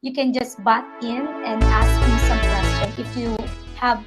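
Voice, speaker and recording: A woman's voice: a little high, steady tone, measured pace, loud music behind the voice. A woman speaking politely to a client as a counselor on an online call.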